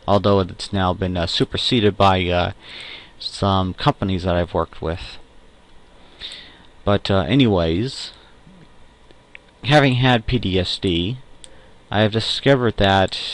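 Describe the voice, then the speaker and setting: A young adult man with a fairly low voice talks casually, as in a personal audio log. His delivery rambles and halts, with pauses as he gathers his words.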